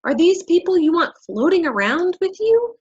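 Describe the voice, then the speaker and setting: A woman's voice: very high-pitched, very animated, moderate pace, lo-fi sound. An actress playing an exacting, scornful woman boss who lectures emphatically in a staged play reading. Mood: stern.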